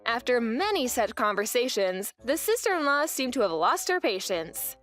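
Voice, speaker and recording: A young woman narrates her own story for a manga dub in an earnest, weary way. Her voice sits high and moves in lively swings. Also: normal speed, faint music behind. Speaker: narrator and wife.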